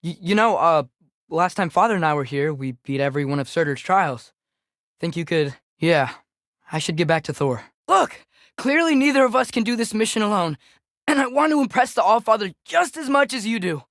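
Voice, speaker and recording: A man's voice: sits high, lively intonation, normal speed, fairly bright tone, no room sound, studio quality. A young man playing an earnest, headstrong teenage boy adventurer in a video game, with a light youthful voice and a casual, hesitant way of talking. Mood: conversational, curious, then earnest, insistent. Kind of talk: video game character acting.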